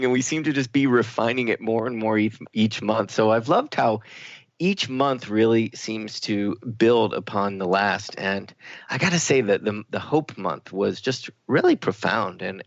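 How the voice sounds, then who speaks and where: A man co-hosting a spiritual talk radio show in a reflective, halting, conversational way, with slightly dull sound. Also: medium pitch, gravelly, no room sound. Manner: reflective, halting, searching for words.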